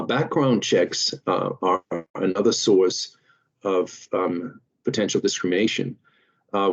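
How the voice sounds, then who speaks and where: Lecturer: man, German accent, low voice, unhurried with frequent fillers, muffled webinar audio. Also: darkish tone. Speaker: lecturer, series host. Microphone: video call.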